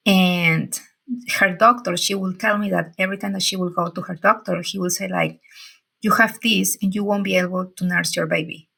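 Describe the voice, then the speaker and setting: A woman, talking as an interview guest in halting English with frequent fillers. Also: lowish voice, steady tone, gravelly, pushed and bright, breathy edge. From Peru. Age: 40s. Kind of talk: casual interview talk.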